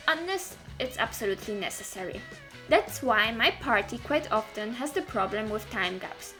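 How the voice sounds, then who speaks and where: A woman narrates an informal explainer in a lightly high voice. Her pitch moves up and down a great deal as she stresses points and speaks straight to the listener. Soft music plays underneath. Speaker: video creator giving roleplaying advice.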